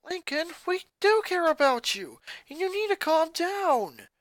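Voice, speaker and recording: A woman voicing a concerned teenage older sister trying to calm her brother. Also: very high-pitched, measured pace, no echo, voice pushed up, heavily acted. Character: concerned eldest sister, teen, bossy.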